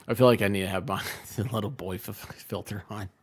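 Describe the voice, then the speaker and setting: A man's voice: on the low side, steady tone, normal speed. A man co-hosting a livestream with casual, playful banter and scattered asides. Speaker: livestream co-host.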